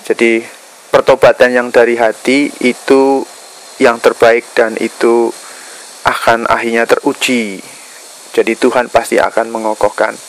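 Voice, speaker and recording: A man with a Javanese Indonesian accent giving earnest, somewhat preachy commentary to his viewers as a video blogger, pausing often mid-phrase. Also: mid-range voice, slight background noise, low-quality recording. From Indonesia, Java.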